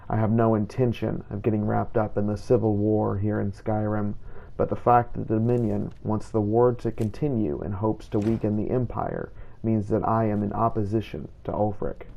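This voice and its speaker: Low, creaky-voiced man narrating a game journal. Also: flat delivery, moderate pace, warm tone, lo-fi sound. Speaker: gameplay narrator playing a vampire hunter in character. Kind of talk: scripted first-person roleplay narration.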